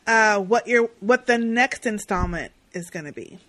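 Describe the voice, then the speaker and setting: A woman co-hosting a casual interview, talking in an emphatic, animated way. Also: mid-range voice, unhurried. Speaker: podcast co-host, interviewer. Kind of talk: casual chat.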